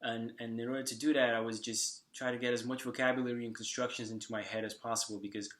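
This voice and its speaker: A man in his twenties, a native Slovak speaker, answering viewer questions to camera in a halting way, with many fillers and restarts. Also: mid-range voice, little pitch movement, fairly bright tone. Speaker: vlogger. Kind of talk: casual talk to camera.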